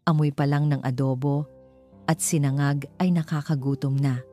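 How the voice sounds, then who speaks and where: A woman's voice: deep voice, steady tone, moderate pace, dark tone, soft background music. A woman with a Filipino accent reading a scripted romance story as its narrator.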